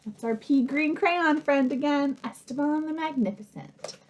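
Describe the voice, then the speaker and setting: A woman's voice: sits high, normal speed, a little mellow, slight background noise. A woman reading a children's picture book aloud, adding chatty asides to the listener. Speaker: children's story reader.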